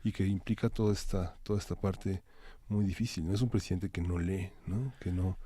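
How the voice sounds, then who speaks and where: A man with a fairly low voice comments as co-host of a live talk show. He builds his thoughts in a halting, roundabout way, checking in with short tag questions. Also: steady tone, dark tone, husky, dry recording. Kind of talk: live discussion, cultural commentary.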